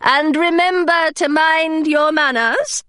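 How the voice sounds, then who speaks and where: A woman's voice: high voice, takes it slowly, a bit bright, dry recording, studio quality, pitched above the performer's own voice. A woman voicing a prim, proper former governess.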